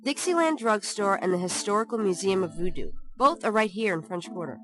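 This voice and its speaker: An actress playing a sharp-tongued young woman who works in a bookshop, teasing and sarcastic in a studio adventure game. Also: mid-range voice, animated, brisk, close to an everyday voice. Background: game music.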